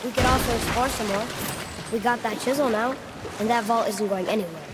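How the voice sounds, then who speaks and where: Young male actor as a high-voiced boy adventurer. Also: fairly quick, very noisy. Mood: eager. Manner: inquisitive, plain-spoken. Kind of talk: video game character acting.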